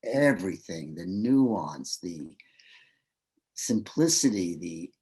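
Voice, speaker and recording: A man on a virtual panel speaking haltingly over a video call, searching for his words. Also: low voice, animated, darkish tone, husky. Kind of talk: casual panel chat.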